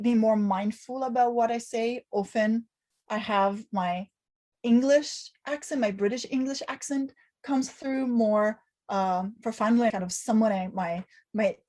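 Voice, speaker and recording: A woman's voice: mid-range voice, darkish tone. A woman from Italy, a native Italian speaker, who answers interview questions thoughtfully, with restarts and fillers. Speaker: university lecturer interviewee.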